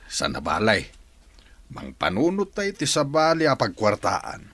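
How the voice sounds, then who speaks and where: A man playing a resigned, steady old village husband in a radio drama. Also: lowish voice, measured pace, no echo, heavily acted.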